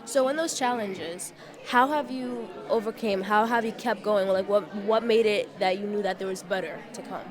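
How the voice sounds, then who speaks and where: An interviewer asking questions at a conference and giving steady affirming backchannels. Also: mid-range voice, normal speed, hardly any pauses. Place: busy conference hall. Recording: conference crowd chatter behind.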